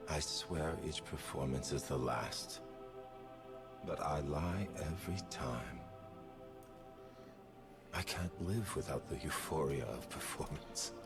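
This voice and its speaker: A gravelly theatrical killer-artist. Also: male voice, full character voice. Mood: grandiose. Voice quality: deep gravelly voice. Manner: slow, grandiose, often whispered. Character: theatrical adult male assassin who treats killing as art. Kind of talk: game voice lines. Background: music.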